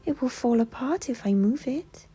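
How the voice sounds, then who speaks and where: A woman voicing a friendly, gentle little female owl in a light, breathy storybook voice over music. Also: high-pitched, animated, moderate pace, pitched above the performer's own voice, full character voice. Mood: sad.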